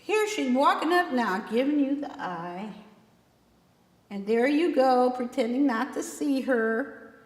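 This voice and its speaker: A woman voicing a teasing, excitable teenage boy who speaks in folksy rural dialect, in a novel reading. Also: high voice, unhurried, pitched above the performer's own voice. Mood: goading. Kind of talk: acted reading of a historical novel. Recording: echoey room with long reverb.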